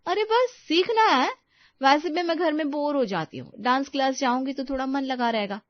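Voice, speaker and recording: A woman's voice: sits high, moderate pace, no echo, dull audio, pitched above the performer's own voice, lightly acted. A woman voicing a breezy, easily bored young housewife in story dialogue. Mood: pleading.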